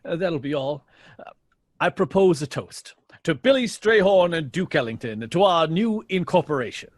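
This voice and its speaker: A man playing a genial, easily excited jazz bandleader in an audio drama, courteous and a little hesitant. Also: a little high, normal speed, no echo, clean studio sound.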